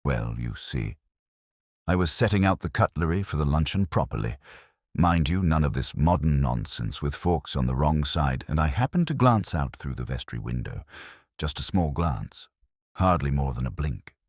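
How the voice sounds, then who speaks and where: A man acts out a prim gossip, a woman telling her news in an audio mystery. She is fluttery and self-important, swooping up and down with breathy relish. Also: extremely low pitch, lively intonation, normal speed, no echo, clean studio sound. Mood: prim, gossipy. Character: fluttery, prim, gossipy female village busybody.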